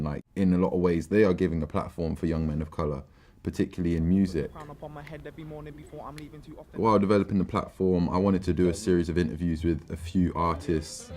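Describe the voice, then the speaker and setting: A man's voice: low-pitched, mellow. A twenty-two-year-old man, a filmmaker talking thoughtfully in a documentary interview and often restarting his sentences. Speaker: filmmaker and platform founder.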